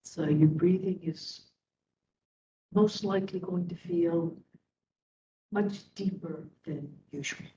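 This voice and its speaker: A woman instructor leading a guided meditation in a soothing manner, speaking in short phrases with long pauses. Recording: roomy, distant mic, faint rumbling noise.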